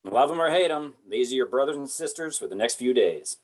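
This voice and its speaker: A man's voice: mid-range voice, animated, fairly quick, darkish tone, lightly acted, rough recording. Military colonel for a script read, male actor, dry and official delivery, mid-range voice. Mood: dry, brisk. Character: gruff, dry military colonel leading a convict squad. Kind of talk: amateur script table read.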